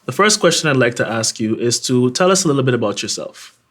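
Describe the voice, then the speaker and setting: A man's voice: mid-range voice, lively intonation, fast, a bit bright. A man hosting an interview, asking polite, formal, prepared questions. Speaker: interview host.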